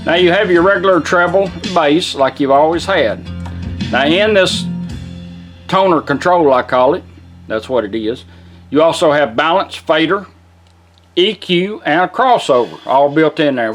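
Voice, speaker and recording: A man's voice: on the high side, lively intonation, moderate pace, faint music behind. A man giving a casual, hands-on product demonstration in a folksy, plain-spoken way.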